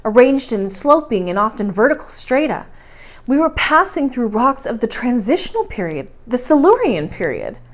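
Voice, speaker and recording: A woman reading a story aloud in expressive, scripted narration. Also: a little high, moderate pace.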